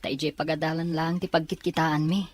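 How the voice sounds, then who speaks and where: Low-voiced young student in radio drama. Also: female voice, flat delivery, fast talker. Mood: respectful, hesitant. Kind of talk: Ilocano radio drama acting.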